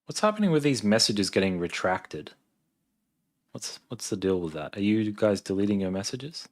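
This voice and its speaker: A man's voice: on the low side, very animated, moderate pace. A man in his thirties with an Australian accent, chatting casually on a livestream, thinking aloud as he reads and answers questions. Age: 30s. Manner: laid-back, thinking aloud. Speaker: live streamer, software engineer.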